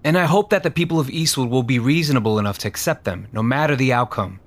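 Actor as earnest male police chief, average pitch, measured, respectful yet steadfast, audio drama. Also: close to an everyday voice. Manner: deferential but firm. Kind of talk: scripted audio drama acting.

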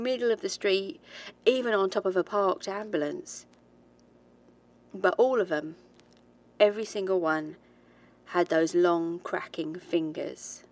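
A woman playing a haunted, determined researcher who gives a reflective, hesitant confession in a horror audio drama diary. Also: lowish voice. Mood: uneasy, reflective. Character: haunted, determined woman researcher, British-born, recalling childhood ghosts.

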